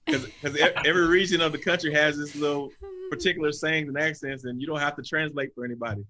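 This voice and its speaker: A man's voice: on the high side, steady tone, fast, bright, forceful tone. A man hosting a live interview, upbeat and affirming as he poses questions. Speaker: interview host.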